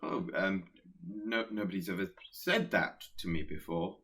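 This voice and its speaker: A man's voice: low-pitched, measured pace, a bit bright, slightly rough, exaggerated voice. An actor in a radio play playing a nervous, awkward Pestilence who hesitates and stammers as he secretly admires catastrophe. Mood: flustered, shy.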